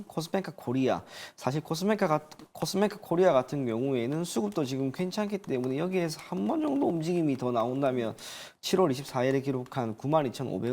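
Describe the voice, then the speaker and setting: A man's voice: mid-range voice, a little mellow. A man working as a stock market analyst, giving formal, firm, explanatory commentary.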